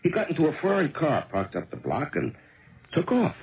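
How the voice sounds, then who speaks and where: A slightly muffled old radio recording features a hard-working detective husband. His pitch swings very widely as he explains things in a confident, emphatic, colloquial 1970s radio drama manner. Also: huge pitch swings, a little fast, gravelly, heavily acted. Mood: confident, explaining.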